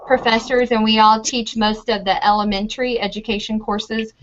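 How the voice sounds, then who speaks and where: A woman's voice: on the high side, a bit bright. A middle-aged American woman, a university professor, answering questions in a friendly, chatty way with frequent ums. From United States. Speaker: university professor of teaching methods. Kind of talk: casual interview answers. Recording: dull lo-fi audio with clear noise.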